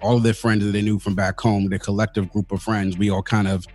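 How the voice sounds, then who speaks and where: A man from the Washington DC area, a podcast guest telling stories about growing up in a relaxed, casual way with street slang. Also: on the low side, fast talker, faint music behind. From Washington DC area, United States. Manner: relaxed storytelling, uses slang like "yo" and "big up". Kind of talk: casual conversational interview.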